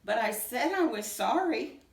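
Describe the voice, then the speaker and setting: Storybook mouse, young male, woman performing: earnest, apologetic, full of questions, lively high delivery, roomy sound. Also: unhurried, far from the mic. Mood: hurt, pleading.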